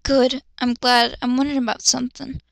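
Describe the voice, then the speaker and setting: Woman as male anime hero: composed, measured, corrective, bright creaky tone. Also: high-pitched, little pitch movement, no echo, studio quality. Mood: calm, curious.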